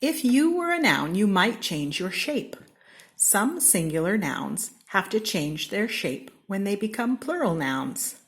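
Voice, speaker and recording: American woman, storybook reader, lively pitch, gravelly edge. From Seattle, Washington. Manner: slow, expressive read-aloud with lively pitch swings. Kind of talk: reading a children's picture book aloud.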